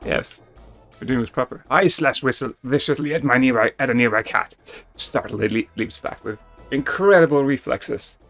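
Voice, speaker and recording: A man's voice: medium pitch, moderate pace, a bit bright. A man reading a text game aloud as theatrical narration, stumbling and rereading now and then, with casual asides. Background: music.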